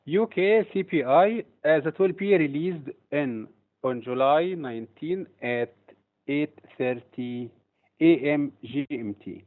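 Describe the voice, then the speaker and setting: A man from Egypt, a trading instructor, presents a webinar in a steady, explanatory way with occasional hesitations. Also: on the high side, slow pace. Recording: tinny phone-like audio.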